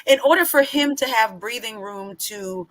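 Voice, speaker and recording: A woman in her forties with speech, high-pitched and bright, pressing her points hard in casual group talk. She cuts in often and keeps the floor. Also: normal speed, rough recording. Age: 40s. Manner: emphatic, talks over others. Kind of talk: casual panel chat.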